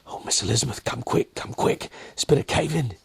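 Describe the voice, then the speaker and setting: A man voicing a frantic female household helper with a breathy, strained, urgent voice in a dramatic story. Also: mid-range voice, animated, fairly quick, dry recording, pitched above the performer's own voice, heavily acted. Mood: panicked. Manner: urgent, panicked, unpolished grammar.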